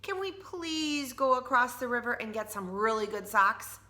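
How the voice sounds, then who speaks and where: A woman's voice: on the high side, animated, normal speed, pushed and bright, voice pushed up. A woman voicing an eager, stubborn little girl from a storybook, exclaiming emphatically.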